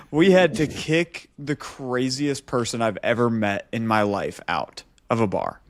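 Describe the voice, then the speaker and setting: A man's voice: medium pitch, slow pace, dry recording. A man co-hosting a podcast, telling an anecdote casually and animatedly, repeating phrases for emphasis. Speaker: podcast co-host.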